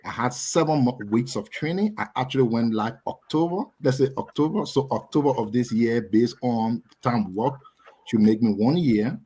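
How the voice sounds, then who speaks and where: A man's voice: mid-range voice, normal speed, mellow, slightly rough, poor audio. A young adult man with a Liberian accent, co-hosting a casual live talk show and chatting with frequent laughs. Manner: talkative, laughs often. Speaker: co-host. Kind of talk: casual chat.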